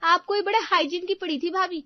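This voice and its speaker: A woman's voice: very high voice, steady tone, a little fast, no echo, lo-fi sound, voice pushed up. A woman narrator voicing a young, dutiful but touchy daughter-in-law in a cartoon story. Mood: needling. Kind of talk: Hindi cartoon story dialogue.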